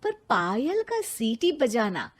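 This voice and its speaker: उतार-चढ़ाव से कहानी सुनाती महिला. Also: female voice, on the high side, huge pitch swings, takes it slowly. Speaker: story narrator. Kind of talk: scripted animated-story narration.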